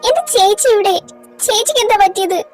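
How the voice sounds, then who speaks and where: Woman voicing a shrill worried teenage girl. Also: expressive, a little fast, a little mellow, soft background music. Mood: worried pleading. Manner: pleading, then teasing. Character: anxious teenage girl, little sister. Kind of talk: amateur audio drama voice acting.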